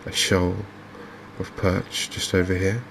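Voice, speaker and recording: A man with an English accent giving gentle, unhurried, softly spoken ASMR commentary on a video game. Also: low-pitched, monotone, a little hiss or hum. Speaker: gaming video host. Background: fishing game ambience.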